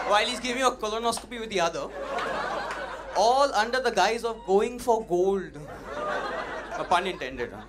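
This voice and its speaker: Young comedian, punchy delivery. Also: male voice, high voice. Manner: punchy, animated, teasing the audience. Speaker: stand-up comedian. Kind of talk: stand-up comedy set. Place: comedy club stage. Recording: noisy live club recording.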